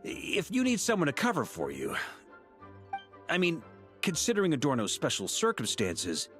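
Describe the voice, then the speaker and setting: A man's voice: a little high, huge pitch swings, normal speed, fairly bright tone. A man voicing a seasoned, easygoing grand master of knights in a video game, coaxing yet authoritative and a little exasperated. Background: faint music.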